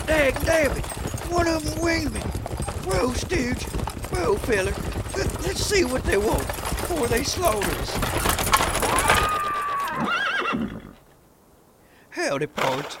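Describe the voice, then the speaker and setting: A man's voice: high voice, heavy background noise, full character voice. A man playing a good-natured, folksy cowhand with a Texan drawl in an old-time radio western. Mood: alarmed. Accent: Southern US, Texan. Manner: drawling, folksy, talks to his horse.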